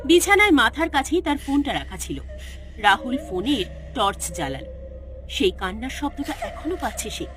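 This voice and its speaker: A woman's voice: on the high side, big pitch swings, normal speed, fairly bright tone. A woman narrating a scripted horror story in a dramatic storytelling style. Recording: music behind the voice.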